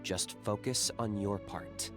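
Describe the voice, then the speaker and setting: Cool, low-voiced young warrior. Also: male voice, over loud music. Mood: calm. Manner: matter-of-fact, unhurried, dry. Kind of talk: anime video game acting.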